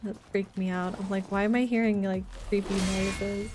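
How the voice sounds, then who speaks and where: A woman's voice: medium pitch, level tone, moderate pace. A woman giving casual, chatty and reactive live commentary while playing a video game. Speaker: game streamer. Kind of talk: casual live gameplay commentary, reading in-game notes aloud. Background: video game music.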